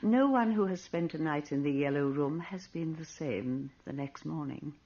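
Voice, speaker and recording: Wanted: an elderly, moneyed lady in a radio ghost mystery, darkly genteel and deliberate, with a husky, low voice. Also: dark tone. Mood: ominous, dramatic. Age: older. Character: wealthy, self-serving old lady, darkly genteel ghost enthusiast. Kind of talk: radio mystery drama acting. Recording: muffled old radio recording.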